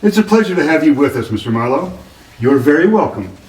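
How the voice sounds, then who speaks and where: An actor playing a courteous, touchy amateur artist and husband in a radio drama, speaking a little stiltedly. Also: male voice, on the high side, very animated, fast, a little mellow. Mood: polite. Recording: roomy, distant, noticeable background noise.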